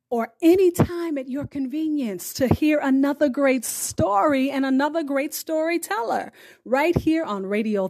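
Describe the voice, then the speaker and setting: A woman hosting a storytelling podcast, speaking in a deliberate, expressive way. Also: high voice. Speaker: podcast host. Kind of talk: hosting and poetic sign-off.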